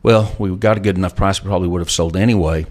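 A man's voice: low voice, fairly even intonation, very fast, darkish tone. A middle-aged man as an interview guest, telling folksy stories and handing out life advice. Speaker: guest entrepreneur. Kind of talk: casual storytelling interview.

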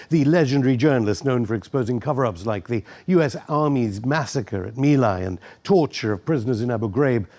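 A man's voice: medium pitch, dark tone. A man hosting a news interview, moving between scripted introduction and questions to his guest. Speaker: interviewer, host.

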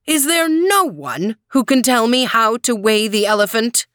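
A woman's voice: on the high side, expressive, measured pace, fairly bright tone, no echo, studio quality, pitched above the performer's own voice. A woman voicing a stern, commanding old emperor in a children's storybook reading. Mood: angry.

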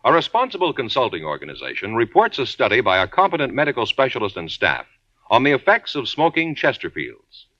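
A man's voice: medium pitch, huge pitch swings, a little fast, sharp tone, no room sound. Emphatic male 1950s commercial announcer. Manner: emphatic, persuasive. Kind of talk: 1950s radio commercial read. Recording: dry, dull 1950s radio.

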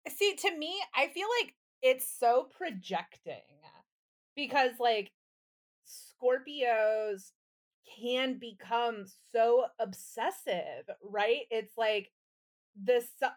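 A woman co-hosting a podcast, bantering casually in an emphatic, candid way and swearing freely. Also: a little high. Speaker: podcast co-host.

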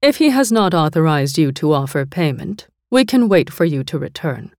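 A woman reading the lines of a calm, shrewd woman leader, bargaining in a cool, measured way. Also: deep voice, no echo, clean studio sound. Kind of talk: audiobook character voice.

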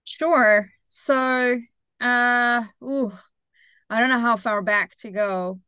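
A woman from Iran in her thirties, a startup founder answering interview questions thoughtfully, with frequent pauses and self-corrections. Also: on the high side, bright, forceful tone, clear, resonant tone, dry recording.

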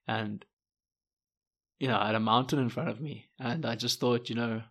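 A man's voice: mid-range voice, fairly even intonation, normal speed, creaky, fairly bright tone. A man, a podcast co-host and adventure guide, talking in an earnest, reflective way with many fillers. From South Africa. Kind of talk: casual podcast talk.

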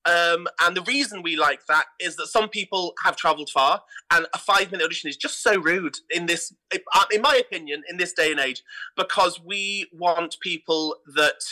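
A man's voice: high voice, normal speed, pushed and bright, poor audio. A British man chatting enthusiastically and casually as a theatre director being interviewed on a podcast.

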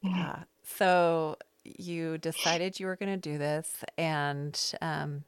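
A woman's voice: deep voice, steady tone, takes it slowly, smooth. A middle-aged American woman hosting a casual podcast, telling stories in a rambling, self-deprecating way. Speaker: podcast host. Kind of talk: casual conversational podcast chat. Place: small loft space at home.

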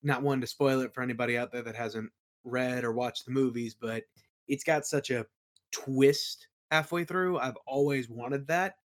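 A man chatting informally as an interview guest, explaining his creative work in a halting, think-aloud way. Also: medium pitch. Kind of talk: casual chat, explaining own creative work.

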